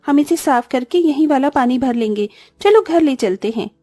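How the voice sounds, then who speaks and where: Woman as young cartoon sister, high-pitched, mellow, eager, childlike, dry sound, music behind. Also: expressive, normal speed, dry recording. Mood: curious. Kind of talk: Hindi cartoon story dialogue.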